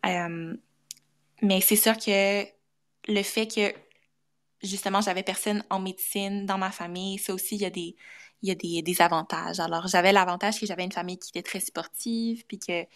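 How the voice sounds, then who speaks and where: A young woman with a Québécois accent, a medical student guest, speaking casually with many pauses and restarts. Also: medium pitch, steady tone. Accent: Québécois French. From Canada. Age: young adult. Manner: thoughtful, many fillers and restarts. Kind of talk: casual interview talk.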